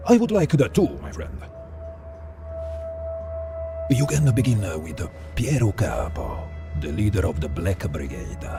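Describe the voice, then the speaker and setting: An actor playing a suave, theatrical mafia kingpin with an Italian accent and a silky, insinuating manner in a game cutscene. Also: male voice, low voice, sing-song, unhurried, pauses often, music in the background, full character voice. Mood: smooth, expansive. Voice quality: gravelly, creaky. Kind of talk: dramatic video game cutscene acting.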